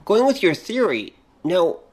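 A middle-aged man co-hosting a podcast, asking and responding casually in a measured, reflective way. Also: sits high, big pitch swings. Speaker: podcast co-host. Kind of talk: casual conversational questioning.

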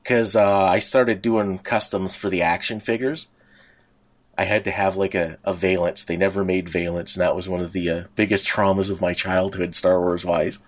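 A man's voice: low-pitched, level tone, normal speed. Middle-aged man, rambling podcast chat. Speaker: podcast co-host. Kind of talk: casual nostalgic fan chat.

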